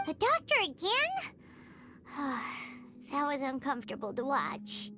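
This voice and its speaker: A woman voicing a tiny, childlike fairy companion in a video game, excitable and nervous, with a squeaky delivery. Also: takes it slowly, background noise, exaggerated voice. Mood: uneasy. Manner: squeaky, refers to self in third person.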